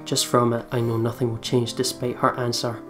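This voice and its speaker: A man's voice: mid-range voice, monotone, brisk, a bit bright. A man reading the narration of a visual novel aloud as a let's play host. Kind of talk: scripted narration read aloud. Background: game music with guitar.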